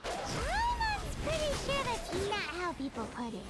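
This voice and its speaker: A woman voicing a tiny, childlike female companion creature in a video game, squeaky, timid and expressive. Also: a little slow, full character voice. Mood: indignant, questioning. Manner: expressive, refers to self by name. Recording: loud game music behind.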